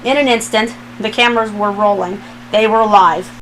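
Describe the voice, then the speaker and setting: A woman's voice: mid-range voice, fairly even intonation, normal speed, fairly bright tone, faint music behind, low-quality recording. A woman reading fan fiction aloud as a narrator, in a scripted storytelling style.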